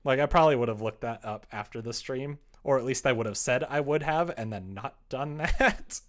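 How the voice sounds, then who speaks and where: A man's voice: mid-range voice, moderate pace, a bit bright. A young man chatting casually on a livestream, thinking aloud as he works, informal and self-deprecating. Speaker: livestreamer coding a game. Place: indoors, small room at a computer.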